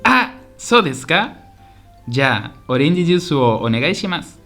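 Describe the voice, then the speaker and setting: A man playing a polite, eager tourist from Spain in a scripted language-lesson radio drama, speaking in simple, clear sentences. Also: fairly high pitch, big pitch swings, a little fast, sharp tone, soft background music, exaggerated voice. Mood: agreeable, curious. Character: polite, eager Spanish tourist visiting Osaka.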